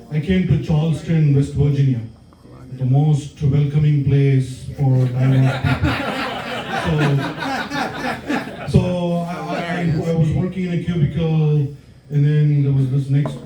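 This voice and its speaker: South Indian man, dark mellow stand-up voice. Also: a little high. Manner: hesitant, filler-heavy storytelling with many ums. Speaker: stand-up comedian. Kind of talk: stand-up set. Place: comedy club stage. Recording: roomy club sound from far off.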